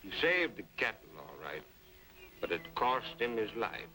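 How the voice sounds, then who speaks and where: Bright-voiced folksy western storyteller. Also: male voice, fairly low pitch, big pitch swings, unhurried, full character voice, rough recording. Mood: solemn storytelling. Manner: folksy, plainspoken. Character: folksy male storyteller recounting a western legend. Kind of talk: dramatic storytelling in old-time radio western. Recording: old radio drama, faint music.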